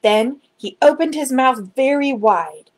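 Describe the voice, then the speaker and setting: A woman reading a children's storybook aloud in an expressive, dramatic storytelling manner. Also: sits high, measured pace. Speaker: children's book reader. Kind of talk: storybook narration, read aloud.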